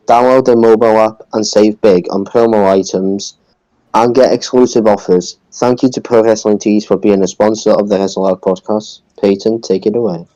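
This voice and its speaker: A man speaks as a podcast co-host in a soft-edged, mellow voice on the low side. The recording is dull and close, with no room sound. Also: fairly even intonation, normal speed, dry recording. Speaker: podcast co-host reading a sponsor message.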